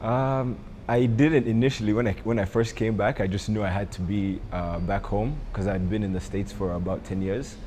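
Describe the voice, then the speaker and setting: Young entrepreneur from Rwanda, deep voice, unhurried and hesitant in interview. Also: male voice, steady tone, warm tone, slight background noise. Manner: hesitant, many ums and uhs. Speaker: coffee entrepreneur. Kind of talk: interview answers.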